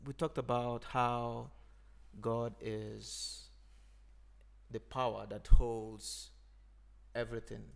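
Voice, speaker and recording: A man from Nigeria, an Igbo speaker, talking in a thoughtful, unhurried way as a podcast host. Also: mid-range voice, level tone, gravelly. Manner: thoughtful, unhurried, frequent "you know". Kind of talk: reflective conversation.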